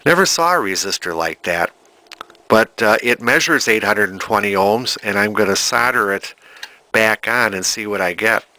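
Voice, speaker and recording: An older man giving a casual repair demonstration as a hobbyist, halting as he thinks aloud. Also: on the low side, expressive, bright, forceful tone. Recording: background music from vintage radio and turntable.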